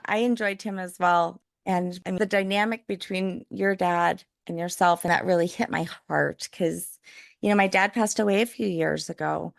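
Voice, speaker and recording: A middle-aged woman hosts a podcast in a casual, chatty way, often using fillers. Her voice has a gravelly fry to it. The sound is dry and close, with no room echo. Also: on the low side. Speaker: podcast host. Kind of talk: casual interview chat.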